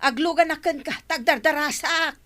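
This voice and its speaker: A woman's voice: sits high, moderate pace, a bit bright. A woman playing an older, indignant and complaining mother-in-law in a radio drama, with an Ilocano accent.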